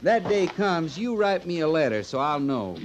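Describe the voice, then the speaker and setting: A wry, bossy frontier sergeant, his American voice pitched a little high. He talks with folksy sarcasm in a radio Western, on an old recording with clear noise. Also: sing-song, normal speed. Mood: wry, teasing. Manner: sardonic, commanding, folksy. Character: gruff, sardonic cavalry sergeant, a man.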